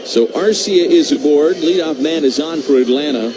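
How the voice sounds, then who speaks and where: A man doing live baseball radio play-by-play in measured calls with pauses between them. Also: on the high side. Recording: noisy live radio broadcast.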